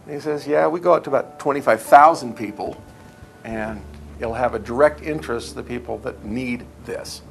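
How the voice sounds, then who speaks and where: A man's voice: medium pitch, moderate pace, creaky, faint music behind. A man recalling past events in a documentary interview, telling anecdotes and quoting remembered conversations.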